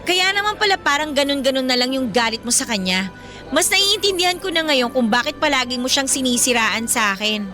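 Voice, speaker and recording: A woman's voice: sits high, lively intonation, fairly quick, pushed and bright. A woman acting a defiant, outspoken young girlfriend in a radio drama, with a Filipino accent and an assertive, emphatic delivery. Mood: probing. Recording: music bed behind the voice.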